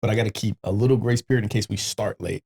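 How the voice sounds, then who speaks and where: A man's voice: fairly low pitch, quick pace, dark tone, slightly rough. A man hosting a podcast in casual, slangy chat, swearing and joking as he talks. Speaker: podcast host.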